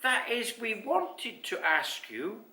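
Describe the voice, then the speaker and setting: A man voicing a small, polite, timid but plucky young male animal in a storybook, squeaky and hesitant. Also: sing-song, takes it slowly, pushed and bright, roomy sound, recorded from a distance, exaggerated voice.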